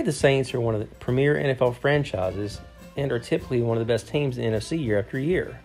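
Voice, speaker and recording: A man co-hosting a podcast reads a scripted history in a steady, measured way. His voice is gravelly and sits in a middle pitch. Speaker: podcast co-host. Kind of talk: scripted narration.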